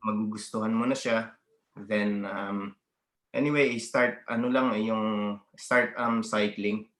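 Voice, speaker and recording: A man's voice: lowish voice, fairly even intonation, measured pace, a bit bright, reverberant. A man from the Philippines, a guest athlete talking casually on a video call, hesitant, with many fillers and restarts. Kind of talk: casual interview, mixing Tagalog and English.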